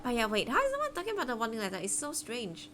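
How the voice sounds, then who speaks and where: A woman's voice: a little high, huge pitch swings, rapid-fire, noisy background. A woman chatting casually as she livestreams a game, rambling and hesitant as she explains things. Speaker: streamer playing a story game.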